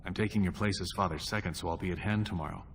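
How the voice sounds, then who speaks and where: An actor playing a scheming young dwarven prince in a video game, with a polite, sly manner. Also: male voice, very fast. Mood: conspiratorial, resolved. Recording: noisy rumbling ambience behind.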